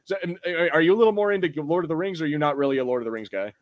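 A man's voice: on the high side, lively intonation, very fast, muffled recording. A man hosting a podcast, chatting casually about fantasy film and TV in a rambling, irreverent, sweary way. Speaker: podcast host.

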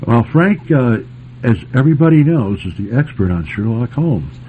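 Male radio host, animated swings in pitch, teasing banter, thin phone-like sound, faint hiss. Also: normal speed. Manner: teasing, joking. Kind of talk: casual bantering chat about old-time radio.

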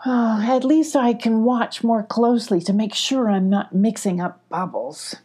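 A woman's voice: fairly high pitch, unhurried, darkish tone, breathy edge. A woman voicing an earnest, worried young female storybook creature with an emphatic, puzzled manner. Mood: earnest, concerned.

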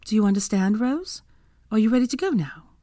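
A woman reads as an earnest young heroine in a storybook. The voice is lifted well above a low register, caring yet determined, and recorded dry and close. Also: expressive, brisk, mellow, no room sound, close to an everyday voice. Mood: gentle.

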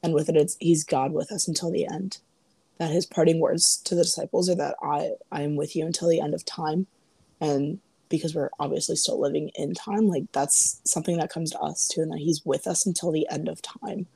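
Hoarse young woman co-hosting a podcast. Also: deep voice, a little fast, no room sound. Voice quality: raspy. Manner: laughs often, relaxed and chatty. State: hoarse, voice partly lost. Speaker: podcast co-host. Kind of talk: casual faith podcast chat. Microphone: home podcast mic.

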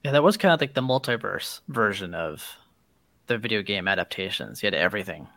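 A man's voice: lowish voice, expressive, fairly quick, sharp tone, no echo. A man co-hosting a podcast, chatting casually and agreeably with conversational asides. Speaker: podcast host. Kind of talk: casual chat.

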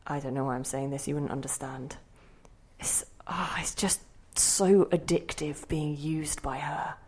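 Low-voiced woman a possessive, wistful inner spirit. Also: steady tone, measured pace, breathy edge. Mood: exhilarated, confiding. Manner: theatrical, musing, by turns sharp and intimate. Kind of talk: audio drama monologue and dialogue.

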